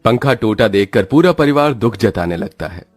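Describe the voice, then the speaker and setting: A man giving scripted narration as the storyteller of an animated moral tale. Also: on the low side, moderate pace.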